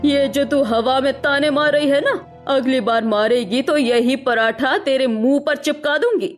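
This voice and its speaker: A woman's voice: high-pitched, moderate pace, faint music behind, close to an everyday voice. A woman voicing a blunt, feisty aunt in a cartoon family drama, brusque and confrontational. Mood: threatening. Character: blunt, feisty aunt, protective daughter of the house.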